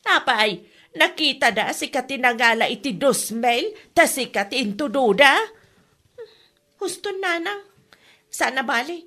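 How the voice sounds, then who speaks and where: A woman's voice: sits high, lively intonation, a little slow, long pauses, sharp tone, exaggerated voice. A woman with an Ilocano accent acting in an anxious family exchange in a radio comedy drama, plaintive and exclamatory. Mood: upset.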